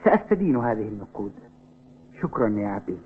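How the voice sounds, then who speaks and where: An actor playing a determined, earnest schoolboy who loves football, polite but insistent, in a dubbed cartoon. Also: male voice, fairly low pitch, very animated, quick pace, husky. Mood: grateful, determined. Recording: tinny band-limited audio with music behind.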